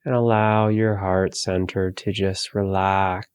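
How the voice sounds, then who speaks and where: A man leading a guided meditation, giving calm, soothing, gentle instructions with long pauses between phrases. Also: deep voice, clean tone, no room sound.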